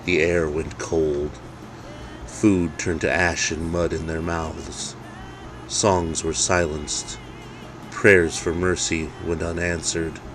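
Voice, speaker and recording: Guy reading a spooky christmas story, really deep and slow, dramatic phrasing, bit of background noise. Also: little pitch movement, over music. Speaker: author reading own story. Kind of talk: scripted dark Christmas storytelling.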